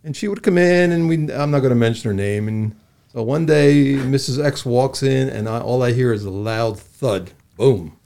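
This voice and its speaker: A man's voice: mid-range voice, moderate pace, gravelly, dark tone. A man in his sixties, a dentist, telling a story in a casual chat and drawing out the suspense.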